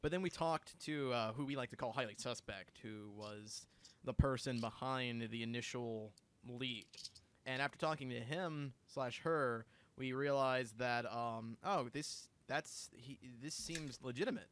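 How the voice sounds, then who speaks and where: A man's voice: medium pitch, a little slow. A young man co-hosting a livestream, telling stories eagerly with many restarts. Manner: excitable storyteller, restarts a lot. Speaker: channel co-host. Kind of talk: casual livestream chat.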